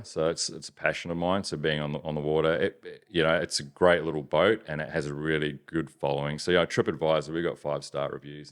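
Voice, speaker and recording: A man from New Zealand with a softened Kiwi accent, chatting casually as a business owner in an interview, rambling with many restarts. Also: extremely low pitch, clean tone. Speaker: business owner, podcast guest.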